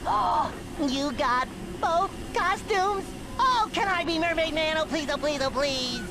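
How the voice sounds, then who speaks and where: A man voicing a childlike, eager, naive sea sponge in a cartoon video game, squeaky, nasal and excitable. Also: measured pace, music in the background. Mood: excited. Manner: excitable, exclamatory, earnest.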